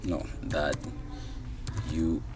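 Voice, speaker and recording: A man's voice: very deep voice, flat delivery, very slow, noisy background. A man in his thirties from Somalia giving a casual coding tutorial, thinking aloud with many fillers and restarts. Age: 30s. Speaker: programming tutor. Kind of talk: screen-recorded coding tutorial.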